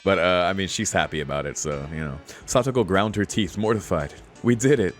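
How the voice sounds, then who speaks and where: Low-voiced man streaming with music behind. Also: brisk. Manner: exclamatory, slangy, swearing. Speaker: gaming streamer reading a visual novel aloud. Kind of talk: casual playthrough, reading aloud with off-the-cuff reactions.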